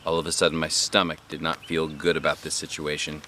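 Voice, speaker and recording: A man reading a children's book aloud as a dad, with expressive, animated narration and put-on character voices. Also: very deep voice, fairly quick, slight background noise.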